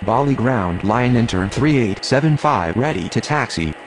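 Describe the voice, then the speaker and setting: A man's voice: medium pitch, animated, moderate pace, lightly acted. A man voicing a formal, procedural pilot and controller in an air traffic game, speaking in clipped radio phrases. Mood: businesslike.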